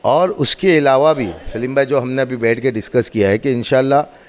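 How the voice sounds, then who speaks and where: A man's voice: medium pitch, moderate pace, darkish tone. A man gives a spoken demonstration, explaining in an emphatic, repetitive, instructive way. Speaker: mosque representative demonstrating loudspeaker equipment.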